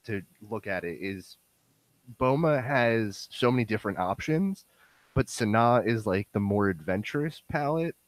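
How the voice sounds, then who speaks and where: A young man hosting a casual podcast with a hoarse, worn-out voice that sounds nasal and bassy, rambling with lots of fillers. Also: expressive, unhurried, no room sound. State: hoarse, voice going. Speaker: podcast host.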